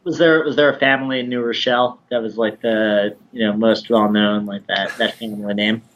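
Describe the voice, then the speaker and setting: A man with a low voice comes through muffled, lo-fi call audio. He speaks in a thoughtful, well-spoken way and builds his points step by step. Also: steady tone, fairly bright tone, a little hiss or hum. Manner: articulate, thoughtful, measured. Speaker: guest. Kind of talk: casual interview conversation.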